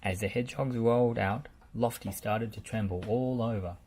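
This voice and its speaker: A storyteller reading a children's picture book aloud in a measured, deliberate way, with background noise. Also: mid-range voice, a little mellow.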